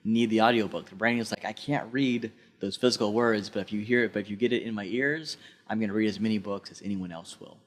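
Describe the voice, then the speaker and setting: A man's voice: lowish voice, quick pace. A man, a librarian, speaking earnestly in a podcast interview and repeating phrases for emphasis.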